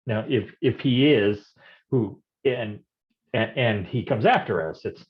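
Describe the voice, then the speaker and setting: A man's voice: on the low side, unhurried, dull audio, natural voice. A man playing a bumbling, folksy private detective in a staged noir parody, stammering and easily rattled. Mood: nervous bluster. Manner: stammering, nervous chuckling, folksy slang.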